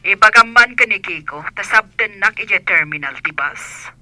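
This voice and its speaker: A man playing an excitable older mother in a radio comedy, exaggerated and drawling, over a telephone. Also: high-pitched, normal speed, dry recording, exaggerated voice, rough recording. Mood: excited, insistent. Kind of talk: radio comedy drama acting.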